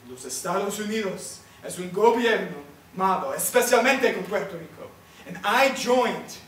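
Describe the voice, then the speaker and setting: A man's voice: high voice, animated, normal speed, a bit bright. A man acting an elderly, proud Puerto Rican storyteller on stage, speaking in a solemn, declamatory way. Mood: bitter. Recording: echoey stage, far microphone, faint noise.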